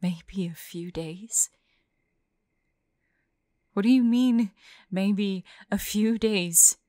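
A woman voicing a tormented young monster girl fighting bloodthirst, tender and frightened, halting and often dropping into whispers in an intimate audio roleplay. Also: mid-range voice, warm tone, no echo, clean studio sound. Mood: anguished, struggling.

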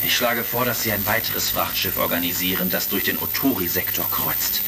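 A man's voice: low-pitched, steady tone, moderate pace, creaky, noisy background. A man playing a resolute, shrewd heroic space commander in a retro sci-fi audio drama.

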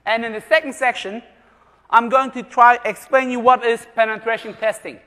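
A man's voice: extremely high pitch, fairly even intonation, normal speed, bright, forceful tone. A man from Germany giving a jokey conference presentation, quizzing the live audience with questions. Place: large conference room. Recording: long reverb of a large hall.

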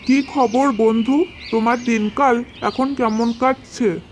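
Woman voicing a high-pitched, hungry old cartoon tiger. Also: fairly even intonation, slow pace. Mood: friendly. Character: foolish old hungry tiger, friendly to his crocodile friend. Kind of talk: children's cartoon story dialogue. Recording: clearly noisy, birdsong behind. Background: birdsong and nature sounds.

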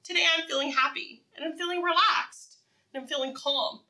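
A woman's voice: high voice, far from the mic, poor audio. A woman teaching preschoolers in a read-aloud style, speaking simply and encouragingly, with repeated phrases and questions to the children. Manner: animated, encouraging, asks questions and pauses for answers.

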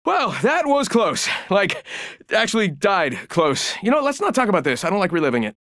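A man's voice: high voice, big pitch swings, a little fast, a bit bright, no room sound, studio quality, heavily acted. An actor playing a cocky, glib showman fighter who rambles and jokes, in a video game. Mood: rattled, joking.

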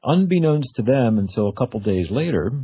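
A man's voice: mid-range voice, sing-song, brisk, breathy edge. A middle-aged man hosting a radio interview in a chatty, informal, conversational way. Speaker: radio show host. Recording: dull, band-limited radio audio.

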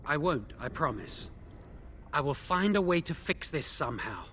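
An actor playing a remorseful, timid imprisoned mage in a video game, speaking in a hesitant, earnest way. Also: male voice, fairly high pitch, big pitch swings, normal speed, bright, forceful tone, a little hiss or hum.

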